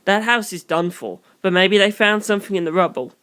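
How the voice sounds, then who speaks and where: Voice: mid-range voice, fairly quick, bright, forceful tone, clear, resonant tone, natural voice. Narrator acting young man, blunt, squeamish, casual slang, exclamatory, audiobook character. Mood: uneasy, exasperated.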